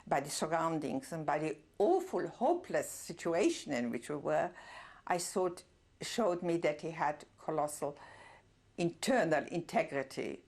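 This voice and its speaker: Older lady, german first language, low gravelly voice, slow thoughtful testimony w/ lots of ums. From Czernowitz, Bukovina. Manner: reflective, pausing often with fillers, soft laughs. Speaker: interviewee, Holocaust survivor. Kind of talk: oral history testimony.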